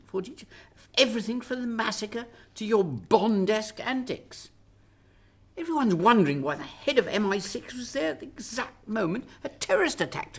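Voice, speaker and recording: A woman acting in a radio drama as a sharp-tongued, anxious Prime Minister, interrogating with emphasis and sarcasm. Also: on the high side, breathy edge. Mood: alarmed, accusing.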